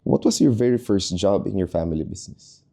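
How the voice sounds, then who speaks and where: A man co-hosts a podcast interview, asking questions in a loose, curious way. His voice is very deep and warm. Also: expressive, a little fast, creaky. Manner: curious, conversational, mixes in Tagalog words. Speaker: podcast co-host. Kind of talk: casual interview chat.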